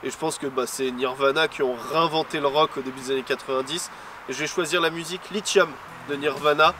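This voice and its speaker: A man co-hosting a casual chat about cars and music, relaxed and joking, rambling with many hesitations. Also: fairly high pitch, fast. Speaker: co-host. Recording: distant mic with faint outdoor noise.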